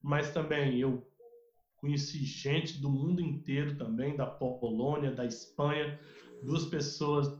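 A Brazilian man with a slightly high voice talks as a podcast guest, telling animated stories full of restarts. The sound is roomy and a bit dull, like lo-fi audio. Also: slow pace. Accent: Brazilian Portuguese. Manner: animated storyteller, many restarts, mimics others. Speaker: guest, music researcher. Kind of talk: casual podcast interview.

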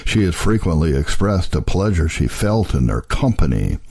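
A man with a husky voice gives a solemn, measured, earnest reading of an old religious text, in the manner of an audiobook narrator. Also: low-pitched, very animated, dark tone.

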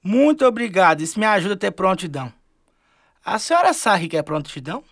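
A man's voice: high voice, big pitch swings, fast, creaky, fairly bright tone, slight background noise, heavily acted. A man acting in a Brazilian radio drama as a wistful, folksy middle-aged man lost to the river, with a Brazilian accent. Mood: folksy, wry.

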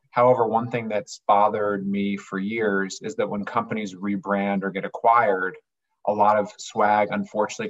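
Man, interview guest, low steady voice, monotone, earnest, muffled lo-fi sound. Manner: earnest, explanatory, occasional restarts. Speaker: business owner, interview guest. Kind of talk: conversational interview.

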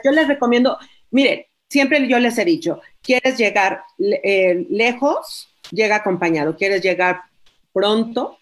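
A woman's voice: medium pitch, animated, measured pace. Woman host, live stream interviewer, cheerful and encouraging. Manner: upbeat, affirming, with short backchannels.

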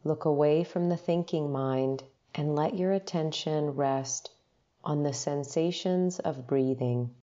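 A woman with a notably low voice leads a guided meditation. She speaks very slowly and gently, in short instructional phrases with long pauses between them. The sound is a little dull and lo-fi.

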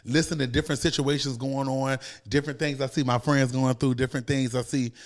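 An American man speaks as a guest in a casual interview. He tells stories in an emphatic, profane way, often repeating a phrase to drive it home. His pitch sits in a middle range for a man. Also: fairly even intonation, fast talker. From United States, born in New York, raised in Savannah, Georgia. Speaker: podcast guest, comedian and motivational speaker.

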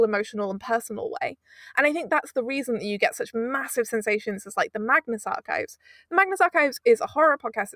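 A woman talks as an interview guest, pressing her points with emphatic rhetorical questions. Her voice sits fairly high. The recording is close and dry, with no room sound. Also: a bit bright, no room sound. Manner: fast, emphatic, rhetorical asides. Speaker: audio drama producer, guest. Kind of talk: conversational interview.

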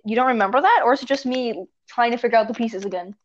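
A teenage girl chatting casually with a friend, giggly and animated as she tells stories. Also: on the high side, fast. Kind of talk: casual chat between friends. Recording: dull lo-fi recording.

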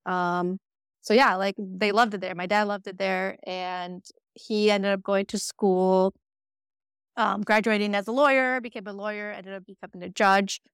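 A woman's voice: mid-range voice, fairly even intonation, normal speed, clean tone, dry recording, clean studio sound. A woman in her thirties, born in Croatia and raised in America, telling her family story in a casual, chatty way with lots of fillers. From born in Croatia, raised in the United States. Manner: chatty, frequent "like" fillers and laughs. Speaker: podcast guest.

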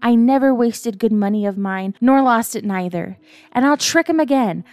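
A woman voicing an ailing old male sea captain who craves rum, pleading and cursing in rough seafaring speech as she reads a bedtime story. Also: a little high, moderate pace, darkish tone, dry recording, lightly acted. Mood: agitated. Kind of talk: storybook reading.

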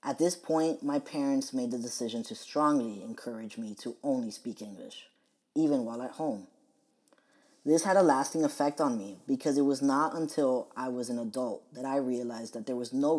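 A man's voice: medium pitch, a little mellow. Earnest young man reading a podcast. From United States. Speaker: podcast host. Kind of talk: scripted personal podcast monologue.

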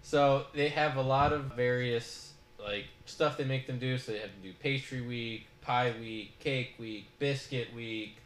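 A man's voice: mid-range voice, steady tone, measured pace, hardly any pauses, a bit bright, reverberant, a little hiss or hum, low-quality recording. A man hosting a podcast, talking through a show review in a casual, rambling way full of fillers. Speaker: podcast host.

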